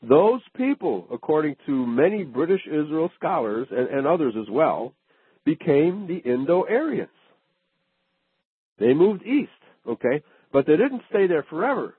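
Husky guy hosting a radio talk show, tinny phone-line sound, keeps saying okay. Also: on the high side, sing-song, unhurried, no echo. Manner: asks questions, frequent "okay" and "right" affirmations.

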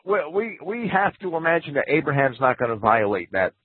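A man's voice: a little high, big pitch swings, a little fast, husky, no room sound. A man guest on a radio talk show, explaining in a hesitant way with many restarts and careful caveats. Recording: phone-like radio audio.

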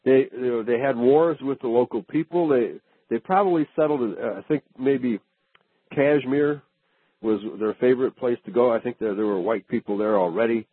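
A man's voice: mid-range voice, normal speed, slightly rough, no room sound. A man hosting a radio talk show, chatting casually and checking in with listeners with frequent "okay?". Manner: asks questions, frequent "okay" and "right" affirmations. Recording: phone-like radio audio.

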